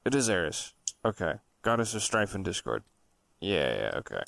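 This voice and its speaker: A man's voice: low voice, very animated, normal speed, creaky, a bit bright, no echo, studio quality. A man co-hosting a podcast, chatting and telling stories casually in a blunt, sweary, emphatic way. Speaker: podcast co-host. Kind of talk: casual banter and storytelling.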